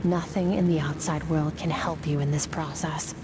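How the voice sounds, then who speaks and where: An actress playing a stern, aloof female sword master in a video game, giving measured, cool-headed instruction. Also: low voice, fairly even intonation, very noisy, natural voice. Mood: calm, instructive. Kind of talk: scripted game voice acting. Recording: game audio under loud music.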